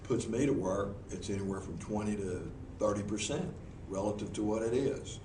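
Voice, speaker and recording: A man's voice: fairly low pitch, moderate pace. A man with a Southern US accent, a professional speaker answering interview questions before a group in a meeting room, folksy and earnest with frequent restarts. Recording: echoey room, mic far off, noisy.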